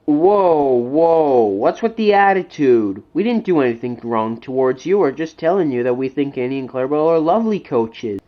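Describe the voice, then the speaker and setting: A man's voice: medium pitch, expressive, normal speed, few pauses, dull audio, close to an everyday voice. A man voicing offended but polite train passengers in a cartoon, protesting as they speak. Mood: puzzled.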